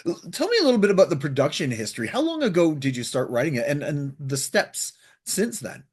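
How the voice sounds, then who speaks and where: A man's voice: on the high side, big pitch swings, brisk, breathy edge. A man hosting a casual radio interview, asking questions in a curious, conversational manner. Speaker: radio show host, interviewer.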